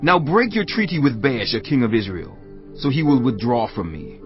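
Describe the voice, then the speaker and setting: A man's voice: medium pitch, sing-song, normal speed, muffled recording, faint music behind, natural voice. An actor playing a king of Judah in a formal, declarative style for a dramatized audio Bible. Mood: formal, urging. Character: king of Judah proposing a treaty to an ally.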